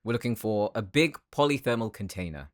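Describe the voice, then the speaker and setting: A man's voice: lowish voice, expressive, fairly quick, no echo, studio quality, natural voice. A man voicing an earnest, homesick tech worker from a space colony in an audio roleplay, explaining things in a sometimes faltering way. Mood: matter-of-fact. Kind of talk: anime-style audio roleplay acting.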